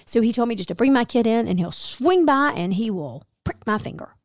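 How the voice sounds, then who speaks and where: A middle-aged woman chatting on her podcast, telling stories and playing out both sides of the conversation. Her voice is a little gravelly and hoarse, with a medium pitch. The sound is close and dry. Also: animated, a little fast, a little mellow, no echo. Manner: chatty storyteller, acts out quoted dialogue. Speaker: podcast host. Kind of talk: casual chat.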